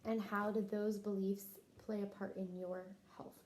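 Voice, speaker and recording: A woman with a soft, mellow voice works through a clinical intake interview as a nurse would. Her pitch sits in a middle range. There is faint noise behind her. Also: flat delivery, normal speed, rough recording. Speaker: nurse interviewer taking a health history.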